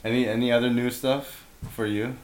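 A man co-hosting a casual podcast chat, his voice raspy from bronchitis, laid-back and quick to laugh. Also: medium pitch, level tone, slight background noise. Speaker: podcast co-host. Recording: roomy echo.

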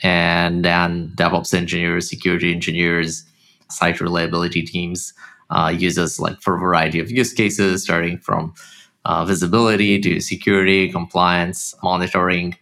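A man from Israel with a slight Russian-tinged accent, a startup founder talking casually as a guest, halting and full of fillers. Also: low-pitched, level tone, sharp tone. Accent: Russian-tinged Israeli English. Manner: halting, many fillers and restarts. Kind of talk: casual interview talk.

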